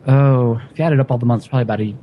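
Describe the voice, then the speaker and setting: A man's voice: mid-range voice, rapid-fire, lo-fi sound, a little hiss or hum. A man in casual conversation, talking easily with some hesitation about holiday traditions abroad. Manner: relaxed, hesitant, sings a folk tune briefly. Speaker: guest sharing Christmas songs. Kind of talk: casual chat.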